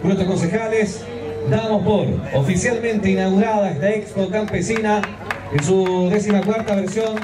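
A man's voice: high-pitched, fairly even intonation, moderate pace, echoey room, distant mic, crowd noise. A Chilean man declaring a fair open over a public address system. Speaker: ceremony announcer.

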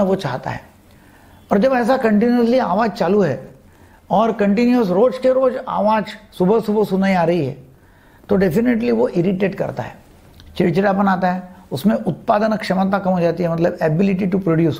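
A man's voice: sits high, creaky, dark tone. A man explains health matters to camera as a doctor, emphatically repeating key phrases and mixing in English terms. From India. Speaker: psychiatrist giving health advice. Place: indoors, seated before a green screen. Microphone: lapel mic.

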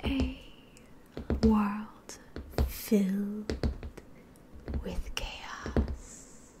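Woman whispering as a slow, seductive scheming demoness. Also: medium pitch, steady tone. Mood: coaxing, sly. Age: 20s. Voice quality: breathy whisper. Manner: slow, deliberate, coaxing. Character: seductive, scheming female demon tempting for souls. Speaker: ASMR roleplay performer. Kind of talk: whispered ASMR roleplay. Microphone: close ASMR microphone.

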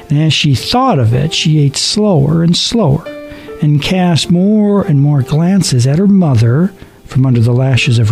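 A man's voice: mid-range voice, darkish tone, poor audio. Male radio play narrator over music. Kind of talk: dramatic scripted story narration. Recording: music under the voice.

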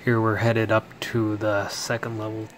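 A man narrating a walking tour through a quiet indoor mall while filming, talking in a relaxed way with pauses. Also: fairly low pitch, slight background noise. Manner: relaxed, unhurried commentary with pauses. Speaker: mall explorer vlogger. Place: large indoor mall, mostly empty.

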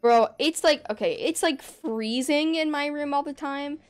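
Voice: high-pitched, a little slow, soft background music. A young adult streamer chatting casually over gameplay in their bedroom, rambling and laughing often. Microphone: desk microphone.

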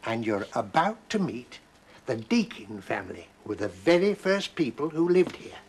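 A man's voice: medium pitch, sing-song, moderate pace, noisy background. An older actor playing a kindly grandfather who patiently explains old times to children, in a children's TV drama. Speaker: grandfather who does magic. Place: kitchen set, indoors.

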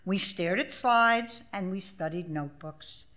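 A woman in her seventies reading her own essay aloud at a live event, measured and expressive with a wry delivery. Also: medium pitch. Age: 70s. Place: small theater stage, live event. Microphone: stage microphone.

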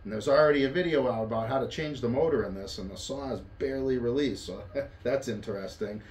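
A man's voice: mid-range voice, fast, darkish tone. A middle-aged man with a North American accent giving a casual hands-on tool review in an offhand, plain-spoken way. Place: indoor wooden workshop. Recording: echoey room, faint background noise.

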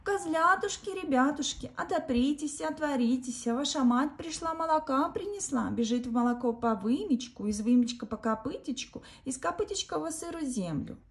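A woman voicing a caring mother goat in a storybook, chanting her calls in a drawn-out sing-song. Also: high-pitched, expressive, pitched above the performer's own voice. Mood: tender sing-song. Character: caring mother goat, tender then grieving.

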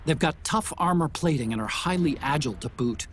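An actor playing a nerdy engineer giving a technical mission briefing over a radio link in a video game. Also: male voice, a little high, fairly quick. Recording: game audio with steady noise.

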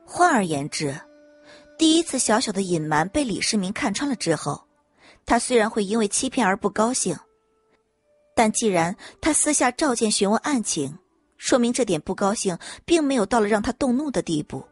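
A woman reading scripted dramatic audiobook narration in an expressive storytelling manner. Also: medium pitch, normal speed, slightly rough, soft background music.

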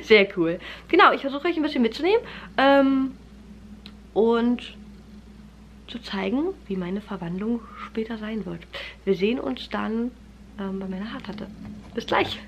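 A woman's voice: a little high, animated, a little slow, pauses often, slight background noise. A woman in her thirties vlogs from her living room with a slightly stuffy, cold-thickened voice. She rambles casually with lots of fillers, talking to viewers like close friends. The phone camera sits close at arm's length. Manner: chatty, many fillers, addresses viewers warmly as friends. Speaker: family vlogger. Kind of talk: casual vlog chat to camera. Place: living room at home, indoors. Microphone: camera held close at arm's length.

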